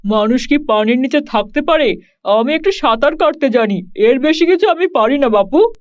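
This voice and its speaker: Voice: high-pitched, expressive, normal speed, dull audio. An actor voicing a humble, simple young cowherd boy in a children's cartoon, speaking in a plain, folksy manner. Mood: hesitant, humble.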